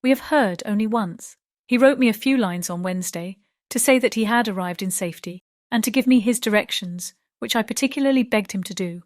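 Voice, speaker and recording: A woman voicing a gentle, dutiful young lady in an audiobook, composed and earnest. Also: medium pitch, brisk, dry recording, studio quality, voice pushed up, close to an everyday voice. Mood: hopeful, earnest. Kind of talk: dramatized audiobook character reading.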